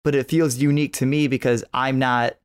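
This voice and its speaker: A man's voice: mid-range voice, flat delivery, fairly quick, clean tone. A man co-hosting a podcast, giving his opinions in a casual, rambling way with "like" scattered through his talk. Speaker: podcast co-host.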